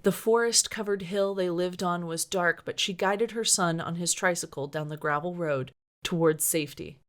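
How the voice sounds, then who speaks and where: A woman's voice: lowish voice, normal speed. A woman author reading a novel aloud as dramatic scripted narration. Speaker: author reading own book.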